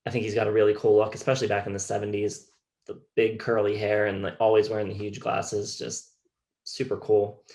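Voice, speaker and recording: A man co-hosting a music show, chatting casually in a measured, halting way. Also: on the low side, completely flat delivery, vocal fry, a little mellow. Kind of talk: casual chat.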